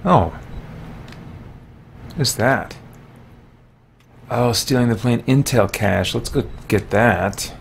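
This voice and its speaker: A man's voice: mid-range voice, takes it slowly, gravelly, long pauses, slight background noise. A man streaming a video game, giving casual, easygoing commentary and muttering mild exclamations to himself. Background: video game sound effects.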